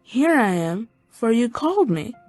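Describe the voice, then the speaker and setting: An earnest young servant boy, high-voiced. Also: sing-song, unhurried, darkish tone, no echo, soft background music. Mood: eager, dutiful. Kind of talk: dramatized scripture audiobook acting.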